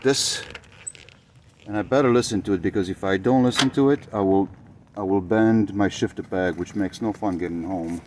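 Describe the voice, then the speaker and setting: A man whose first language is Romanian, talking through his motorcycle build in a casual, hesitant vlog, pausing often with fillers. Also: lowish voice, darkish tone, slight background noise. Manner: hesitant, many fillers, thinking aloud.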